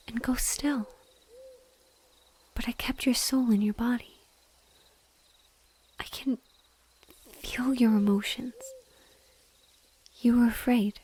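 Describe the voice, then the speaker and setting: A woman voicing a mournful, gently loving banshee in a breathy whisper, slow and tender, as in an intimate audio roleplay. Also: fairly high pitch. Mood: soft, sorrowful, then solemn. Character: sorrowful female banshee, gentle and lovingly devoted.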